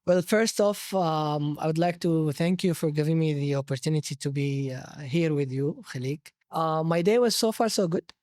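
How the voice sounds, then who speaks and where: A man with a Saudi Arabic accent, telling his personal story conversationally as an interview guest, pausing to search for words. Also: on the high side, darkish tone, no room sound, studio quality. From Jeddah, Saudi Arabia.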